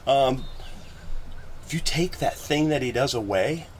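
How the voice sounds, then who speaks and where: A man with a gravelly voice talking casually and ramblingly as a musician guest on a podcast. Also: medium pitch, lively intonation, a little slow, crowd noise. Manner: rambling, colloquial, occasional swearing. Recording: clear background noise.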